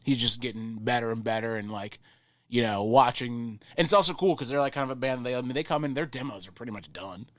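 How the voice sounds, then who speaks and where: Male studio engineer as interview guest, mid-pitched, enthusiastic, rambling and sweary, very dry sound. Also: quick pace, dry recording. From New Orleans, Louisiana. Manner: rambling, enthusiastic, casually profane. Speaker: podcast guest, recording engineer and producer. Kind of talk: casual interview chat.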